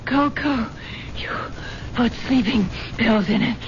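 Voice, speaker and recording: A drugged, dying wife. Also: female voice, fairly high pitch. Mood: weak, tearful. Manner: faltering, whispery, halting. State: weak, drugged, tearful. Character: rich wife, drugged and dying, vowing revenge. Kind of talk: 1970s radio drama acting. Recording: dull old radio broadcast with hiss.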